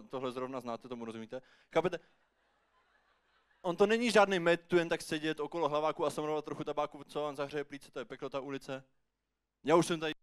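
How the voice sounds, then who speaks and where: A man performing a pleading, rambling, apologetic homeless beggar in a rhymed slam poetry monologue, with halting repetitions. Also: on the high side, clear, resonant tone, close to an everyday voice.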